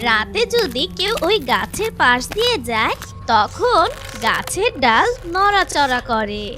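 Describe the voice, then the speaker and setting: A woman narrating a scripted children's story in a dramatic, expressive way, with music behind her. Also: high voice.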